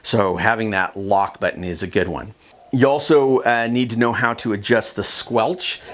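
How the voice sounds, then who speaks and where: Low-voiced man casually explaining gear. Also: normal speed. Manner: plain-spoken, conversational explaining. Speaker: kayak fishing instructor. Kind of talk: casual instructional demonstration.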